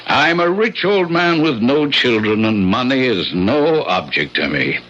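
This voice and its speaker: An actor in an old-time radio drama playing a rich, eccentric old landlord, courteous and fussy with a sinister charm. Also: male voice, mid-range voice, big pitch swings, a little slow, a bit bright. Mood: smooth, businesslike. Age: older. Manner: fussy, genteel, theatrical, hesitant. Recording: dull vintage radio recording.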